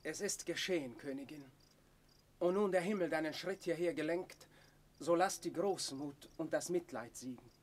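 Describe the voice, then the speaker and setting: An actor playing a deferential courtly lord in a classical radio drama, formally urging mercy. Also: male voice, a little high, moderate pace, natural voice. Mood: deferential, appeasing. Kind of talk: classical German stage drama radio play.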